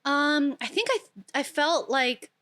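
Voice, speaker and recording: A woman's voice: high-pitched, lively intonation, slow pace, pushed and bright. A young woman with an international-school accent, chatting casually as a podcast guest with lots of filler words. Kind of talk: casual chat.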